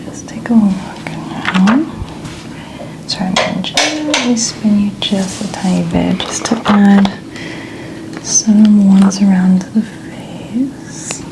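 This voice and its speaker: A woman speaking softly as an ASMR host, often close to a whisper, with gentle, soothing, unhurried instructions. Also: medium pitch, background noise. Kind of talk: soft-spoken ASMR, often near whisper.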